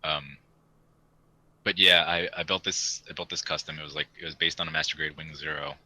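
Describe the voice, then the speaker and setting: A man's voice: extremely low pitch, fairly even intonation, fairly quick, pushed and bright. A young man chatting casually and in a rambling way as a podcast host. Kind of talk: casual chat.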